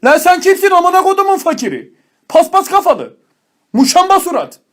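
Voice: very high-pitched, sing-song, normal speed, pitched above the performer's own voice. A performer voicing a foul-mouthed, bullying older stepmother from a comic fairy tale, with scornful slangy insults.

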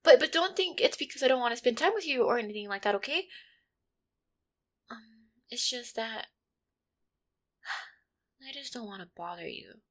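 A woman voicing an affectionate, insecure catgirl girlfriend in an intimate roleplay, with a hesitant, soft-hearted manner. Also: on the high side, lively intonation, moderate pace, pauses often. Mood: tender, hesitant. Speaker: roleplay audio performer. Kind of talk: intimate one-sided roleplay audio acting.

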